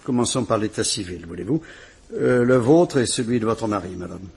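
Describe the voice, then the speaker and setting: An actor playing a calm, kindly police commissioner in a vintage radio drama, speaking in a measured, courteous and reassuring way. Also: male voice, fairly low pitch, gravelly, slightly rough, heavily acted. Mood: brisk, kindly.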